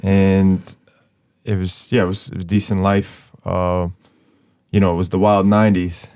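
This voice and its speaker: A man's voice: deep voice, unhurried, smooth. A young man from Ukraine, a guest in an interview, talking casually with lots of fillers and restarts. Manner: relaxed, rambling, many fillers and restarts. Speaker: guest, artist.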